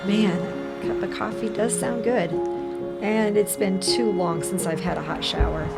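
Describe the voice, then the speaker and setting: A woman's voice: mid-range voice, steady tone, normal speed, gravelly, natural voice. An actress playing a weary, guarded homeless mother in an audio drama, talking in a casual, hesitant way. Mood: wary, tired. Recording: loud guitar music behind.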